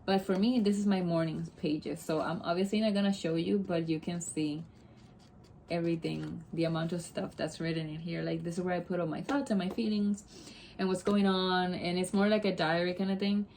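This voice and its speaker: A woman's voice: fairly low pitch, fairly even intonation, a little fast, vocal fry, a little mellow, slight background noise. A young woman from Puerto Rico, a native Spanish speaker, chatting casually to camera in a rambling way with many asides and self-corrections. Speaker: vlogger.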